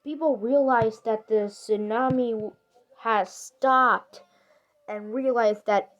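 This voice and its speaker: A woman's voice: fairly high pitch, steady tone, slow. A synthetic-sounding woman reading a scripted emergency broadcast announcement. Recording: dull lo-fi broadcast audio.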